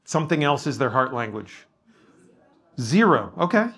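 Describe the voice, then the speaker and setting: A man's voice: mid-range voice, big pitch swings, normal speed, fairly bright tone. A man leading a workshop discussion as a pastor, talking casually and modestly, with frequent ums and restarts in front of a live audience.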